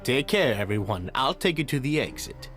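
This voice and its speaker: An actor playing a polite, deferential leader of a prisoner society in a video game, with a smooth, courteous manner. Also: male voice, medium pitch, very animated, moderate pace, fairly bright tone. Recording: music behind the voice.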